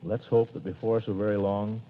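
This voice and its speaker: An actor playing a blustery, jovial middle-aged bachelor uncle in 1940s radio acting, booming and hearty. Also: male voice, little pitch movement, fairly quick, natural voice. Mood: earnest. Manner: booming, hearty, theatrical chuckle. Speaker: lead character. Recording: dull vintage radio broadcast, faint hiss.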